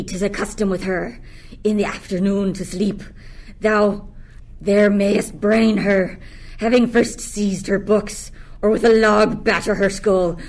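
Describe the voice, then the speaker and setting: A student actress playing a resentful, scheming yet dreamy enslaved island monster in a Shakespearean radio play. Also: female voice, medium pitch, a little mellow, rough recording. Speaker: student actor.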